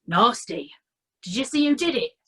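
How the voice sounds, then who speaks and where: A woman's voice: on the high side, expressive, moderate pace, fairly bright tone, husky, voice pushed up. A woman doing a fussing, kindly old sergeant. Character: kindly, slow-witted male sergeant.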